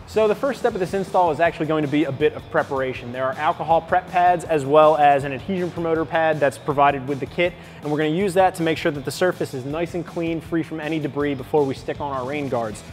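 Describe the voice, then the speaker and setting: A man in his thirties walking through an install step by step in a bright indoor garage. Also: a little high, fast, few pauses, faint music behind. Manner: steady step-by-step instruction. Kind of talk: how-to install walkthrough.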